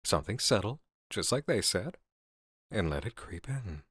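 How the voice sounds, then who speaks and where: A man playing a calm, calculating game developer with measured, deliberate, articulate delivery in a scripted ASMR horror roleplay. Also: on the low side, slightly rough, dry recording, studio quality, full character voice. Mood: calm, persuasive. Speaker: voice actor in an audio roleplay.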